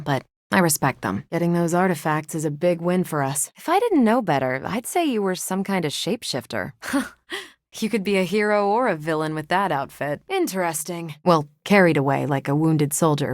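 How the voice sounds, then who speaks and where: A woman's voice: sits low, dry recording, studio quality. A woman voicing a confident, quippy adult female superhero soldier in a video game, with a casual, dryly joking, assured manner. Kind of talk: video game character dialogue.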